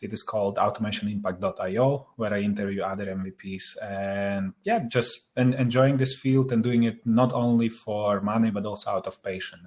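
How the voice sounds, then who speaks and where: Male panelist, lowish voice, informal professional self-introduction. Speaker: panelist, company founder.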